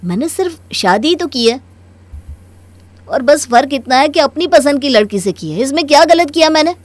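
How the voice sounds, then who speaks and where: A woman's voice: sits high, lively intonation, normal speed, no room sound. A woman voicing a dutiful young married son in a Hindi cartoon story, earnest and placating. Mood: protesting.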